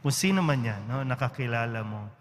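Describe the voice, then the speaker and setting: A man with a Filipino accent, a priest giving a homily in a relaxed, conversational way, often checking in with his listeners. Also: medium pitch, fairly quick. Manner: conversational, with frequent tag questions. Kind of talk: Sunday-style homily. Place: large church. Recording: church reverb with faint background noise.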